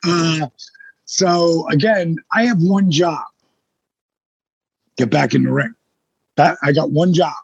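A man in his sixties with a husky voice, a guest telling his story in a blunt, emphatic way with some swearing. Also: on the high side, slow, pauses often, no echo, muffled recording. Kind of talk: casual storytelling in an interview.

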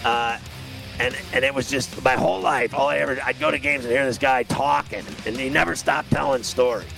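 A man's voice: mid-range voice, steady tone, fairly quick, fairly bright tone, faint music behind, low-quality recording. A man, a radio host telling a long rambling, self-mocking story in a grating voice. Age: 50s. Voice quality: gravelly, grating voice. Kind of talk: storytelling monologue on a radio show.

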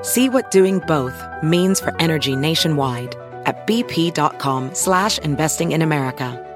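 Low-voiced woman reading a corporate ad. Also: lively intonation, music in the background. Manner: smooth, measured, corporate. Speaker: ad announcer. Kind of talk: scripted ad read.

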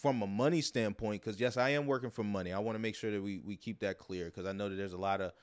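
A man's voice: on the low side, fairly even intonation, quick pace. A man hosting a podcast in a casual conversational style, talking in a long-winded way that circles back and repeats words. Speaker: podcast host.

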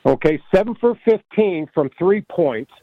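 A man from Ohio calling in to a sports radio show, chatting in a rambling, halting, friendly way over a phone line. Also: high voice, expressive, no echo. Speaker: radio caller. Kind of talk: phone-in chat.

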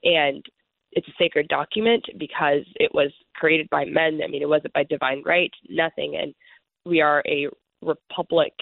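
A woman from Somalia, a congressional candidate, giving earnest, measured answers as an interview guest over the phone. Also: sits low, fairly even intonation, creaky, no room sound. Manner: earnest, measured, political talking points. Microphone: phone call. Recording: tinny phone line, dry.

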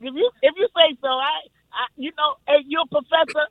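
A man from New York calling into a radio show, speaking hesitantly in a very light, high voice. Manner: hesitant, halting, repeats himself. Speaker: caller. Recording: tinny phone line.

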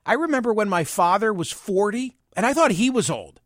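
A man in his sixties, hosting his own show, musing in a measured, wry monologue. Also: high voice, expressive, dry recording, studio quality. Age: 60s. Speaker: podcast and radio host. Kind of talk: reflective personal monologue.

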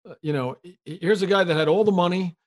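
A man hosting a podcast, eagerly firing quick questions back and forth with his guest. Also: high-pitched. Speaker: podcast host. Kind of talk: podcast conversation.

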